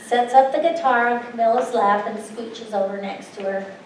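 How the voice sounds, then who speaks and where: A woman's voice: a little high, moderate pace, a little hiss or hum. A woman reading out stage directions as scripted narration at a staged reading. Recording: echoey hall, distant mic, music behind.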